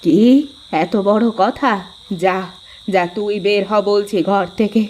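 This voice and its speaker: A woman's voice: mid-range voice, steady tone, takes it slowly, darkish tone, a little hiss or hum, natural voice. A woman voicing a stern, elderly cartoon mother-in-law who scolds and commands. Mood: scolding. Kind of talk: cartoon voice acting.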